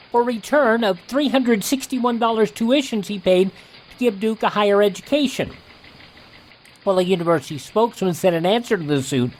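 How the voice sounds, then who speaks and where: A man with an American accent reading scripted news and show introductions as a radio host, in measured announcer phrasing. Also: high voice, a little hiss or hum, poor audio.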